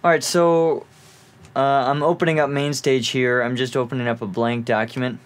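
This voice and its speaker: A man's voice: mid-range voice, little pitch movement, normal speed. A man presenting an informal music gear tutorial, explaining in an offhand way as he thinks aloud.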